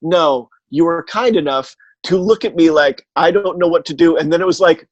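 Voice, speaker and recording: A man chatting casually as a podcast guest and telling anecdotes in a halting, wry way, often correcting himself. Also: a little high, no room sound, muffled recording. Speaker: podcast guest, audio theatre producer.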